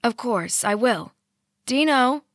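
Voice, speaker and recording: A woman voicing a sweet, gentle middle-aged mother who speaks softly and reassuringly. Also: a little high, expressive, slow, no echo, clean studio sound, voice pushed up. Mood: warm. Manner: soft, reassuring, apologetic.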